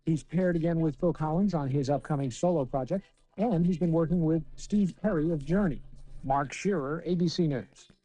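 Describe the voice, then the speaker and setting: Male news correspondent, scripted report, slightly high voice with a soft mellow tone. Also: moderate pace, poor audio.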